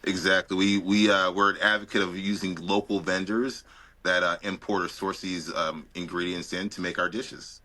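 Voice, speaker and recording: A relaxed man with a very low voice and a bright, forward tone answers interview questions plainly. He speaks as a restaurant owner. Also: moderate pace.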